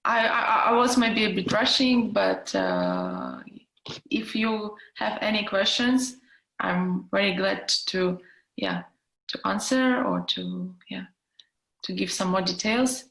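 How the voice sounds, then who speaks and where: A woman speaks in halting over a video call and often repeats words as she searches for them. Her voice has a gravelly fry, and the room around her is echoey. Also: medium pitch, big pitch swings, a bit bright. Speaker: guest speaker.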